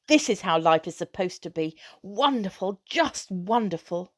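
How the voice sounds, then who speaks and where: A woman's voice: mid-range voice, animated, unhurried, lightly acted. A woman voicing an emphatic, colloquial working mother with a stubborn, emotional temper in a storybook reading. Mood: joyful. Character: distraught, stubborn, angry working mother of a boy.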